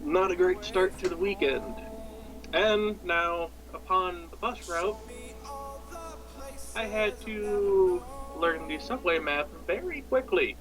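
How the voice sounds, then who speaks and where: Young American man, high-pitched voice, casual storytelling, rambling, self-deprecating, clear background music. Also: slow pace, poor audio. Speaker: podcast storyteller.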